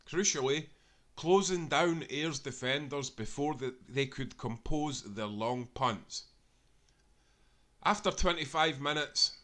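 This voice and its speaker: A man's voice: medium pitch, pushed and bright. A Scottish man reading aloud a football history tale, earnestly and with small self-corrections along the way. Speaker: narrator.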